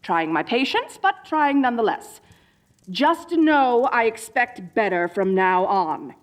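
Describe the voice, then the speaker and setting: A woman's voice: fairly high pitch, very animated, slow. A woman playing a dorky evil sorceress queen in a comedic audio roleplay, theatrical, gloating and petulant, talking straight to the listener. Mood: grudging, stern. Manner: theatrical, gloating, mock-menacing.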